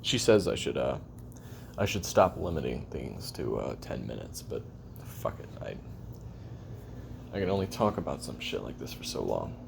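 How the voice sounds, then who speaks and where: A man playing a weary, lonely, bitter assassin recording a halting, confessional audio-drama memoir in a gravelly voice. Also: extremely low pitch, sing-song, background noise, exaggerated voice. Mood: dry, rambling. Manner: halting, brooding, self-deprecating, trailing off. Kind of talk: confessional audio roleplay monologue.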